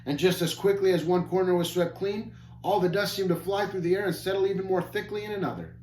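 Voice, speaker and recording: A man's voice: high-pitched, quick pace, a little mellow, voice pushed up, close to an everyday voice. A man voicing a wise guide who teaches in old-fashioned words. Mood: teaching. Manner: archaic, instructive. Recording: echoey room, faint hum.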